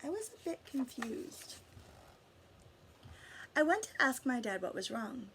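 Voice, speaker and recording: A woman with a slightly high voice reads a storybook aloud to children. She stresses key words, stretches some out and speaks directly to her listeners as she goes. The recording is homemade, with faint noise behind her. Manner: expressive storytelling with dramatic emphasis and drawn-out words. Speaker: adult reading a picture book aloud to children. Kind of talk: storybook read-aloud with asides and questions to listeners.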